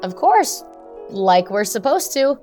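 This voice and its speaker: Audio drama role: concerned middle-aged mother, medium pitch, reproving, faint music behind. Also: big pitch swings, slow, warm tone. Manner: careful, reproving. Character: worried, stern mother who makes pills.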